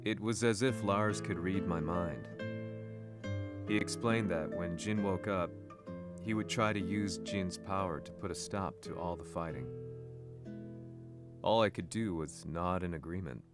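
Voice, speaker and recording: A haunted reporter narrating his game story, deep somber voice, music underneath. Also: a little slow, long pauses. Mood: brooding, restrained. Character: brooding male journalist narrator, haunted, earnest, driven. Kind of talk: scripted first-person game cutscene narration.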